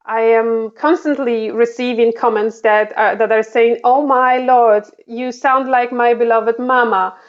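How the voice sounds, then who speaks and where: A woman with a Polish accent, her voice set a little high, talks haltingly and restarts often. The audio sounds dull and lo-fi, like a remote call. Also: fairly even intonation, smooth. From Poland. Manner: halting, many fillers and restarts. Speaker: diplomat guest. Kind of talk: interview answers.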